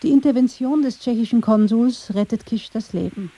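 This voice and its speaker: A woman's voice: a little high, normal speed, warm tone, husky. A woman reading scripted narration for a radio documentary.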